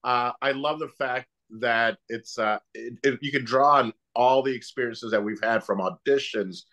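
Halting, mid-pitched man co-hosting. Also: sharp tone. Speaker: co-host, actor. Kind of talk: casual chat. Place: indoors on a video call. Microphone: computer video call.

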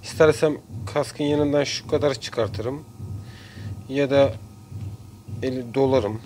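Guy doing a casual diy how-to, middle pitched voice, stop-and-start talking, noisy room sound. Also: darkish tone. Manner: halting, pausing between short phrases. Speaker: DIY video maker. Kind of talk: casual hands-on tutorial. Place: indoors at a workbench. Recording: clear background noise, room ambience.

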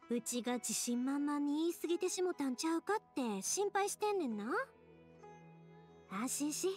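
A woman's voice: high voice, a little mellow. An actress playing a kind-hearted young woman in an anime game, speaking earnestly and expressively with a Kansai accent. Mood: reassuring, thoughtful. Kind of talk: anime game dialogue acting.

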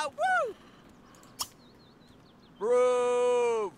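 A woman's voice: high-pitched, wildly swooping pitch, very slow, full character voice. A woman voicing a giddy video game character who whoops and babbles in exclamatory gibberish over game noise. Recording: game audio under loud background noise.

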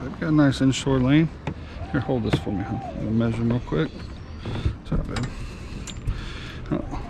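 A man's voice: medium pitch, moderate pace, vocal fry. A man, hosting a fishing vlog from his boat and chatting casually to viewers. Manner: folksy and upbeat, addressing viewers as y'all. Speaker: fishing channel host and charter captain. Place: open boat on the water outdoors. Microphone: action camera on the boat. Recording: outdoor boat recording with engine and water noise.

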